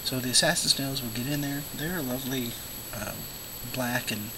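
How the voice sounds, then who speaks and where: A man with a hoarse, scratchy voice from being sick, often slipping into a whisper as he talks casually about his fish tank. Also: mid-range voice, normal speed. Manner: relaxed, rambling, many fillers. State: hoarse, losing his voice from illness. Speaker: aquarium vlogger, show host. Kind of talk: casual talk to camera.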